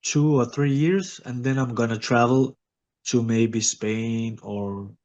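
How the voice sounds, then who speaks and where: A sick man answering interview questions in short, simple, halting sentences. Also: medium pitch, a little mellow, highs cut off. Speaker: guest.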